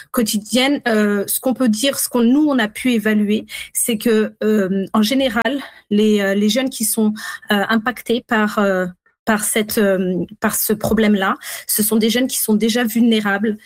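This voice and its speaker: A woman speaking as an expert guest in a radio interview, explaining things in a measured, careful way. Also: medium pitch, no room sound. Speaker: child protection worker, interview guest.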